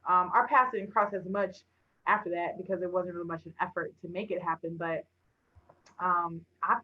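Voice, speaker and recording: A woman's voice: lowish voice, fairly even intonation, normal speed, slightly rough. Phone-like sound, young woman chatting candidly. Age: young adult. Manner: candid, colloquial. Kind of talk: casual chat. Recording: thin phone-like audio.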